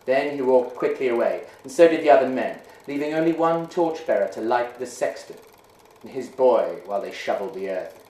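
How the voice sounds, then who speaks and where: A man giving a measured, hushed dramatic reading of a ghost story. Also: mid-range voice, expressive. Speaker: reader. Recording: roomy echo.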